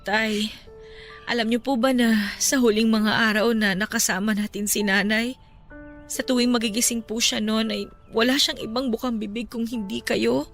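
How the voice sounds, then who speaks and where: Higher-voiced, gentle consoling daughter, acted by a woman. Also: steady tone, normal speed, faint music behind, close to an everyday voice. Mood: tender, earnest. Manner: earnest, respectful, pleading. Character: caring grown daughter, gentle, respectful, consoling. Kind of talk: Filipino radio-style drama acting.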